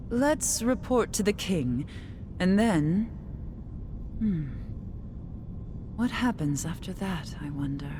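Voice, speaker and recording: A woman's voice: sits low, background noise. An actress playing a proud, dignified woman warrior in a video game, with formal delivery. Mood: reflective. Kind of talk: dramatic video game acting. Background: game music.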